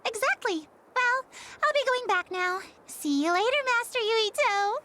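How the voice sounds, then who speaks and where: A woman's voice: very high-pitched, expressive, unhurried, pushed and bright, slight background noise, full character voice. A woman playing a sugary, devoted young officer in a video game dub, gushing and girlish but pointedly snide to others. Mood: smug, chirpy. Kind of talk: anime game dub acting.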